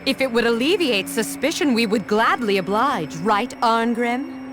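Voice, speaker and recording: An actress playing a composed, shrewd warrior woman in a video game, measured and politely probing. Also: fairly high pitch, lively intonation, over music, natural voice. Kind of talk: dubbed video game cutscene acting.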